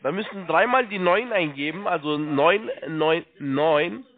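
A man working as a call-centre agent, giving halting step-by-step instructions, repeating himself and spelling things out. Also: fairly high pitch, animated. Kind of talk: step-by-step phone sales instructions. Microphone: telephone. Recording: tinny narrow phone line.

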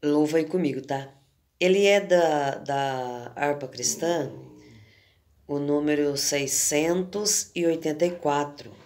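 Hoarse brazilian lady, 50s, got a cold, talks real slow and homey to her viewers, phone at arm's length at home. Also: sits low, a little mellow. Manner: slow, homely talk with frequent "né" and "gente". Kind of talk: casual devotional chat to viewers. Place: home room indoors. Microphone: phone camera at arm's length.

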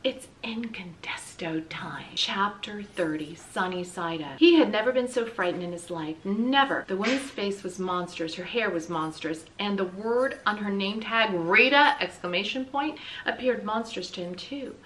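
A middle-aged woman reads a children's story aloud with expressive, dramatic, deliberate emphasis. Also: medium pitch. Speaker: school read-aloud reader. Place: small indoor room with bookshelf and blinds. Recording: distant mic, light room noise.

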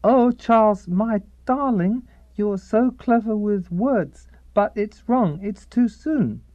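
Radio sketch: actress as halting, literal-minded reader, slow, soft-edged mellow voice, very dry sound. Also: female voice, mid-range voice, dry recording, dull audio, close to an everyday voice. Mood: halting reading. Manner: halting reading, sounding words out. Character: slow, literal-minded reader stumbling through romance fiction.

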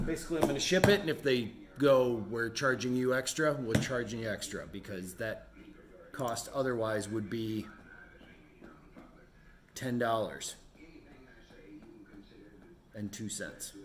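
A man's voice: medium pitch, measured pace, long pauses, very noisy. Middle-aged man thinking aloud. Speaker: vlogger running a home resale business. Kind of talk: casual vlog narration, thinking aloud.

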